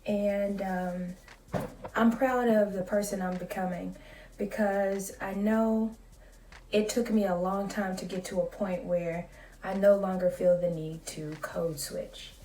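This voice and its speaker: A woman in her late thirties, a school psychologist, talking in a soft, calm and measured way in a casual vlog. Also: mid-range voice, roomy sound, far from the mic. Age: 30s.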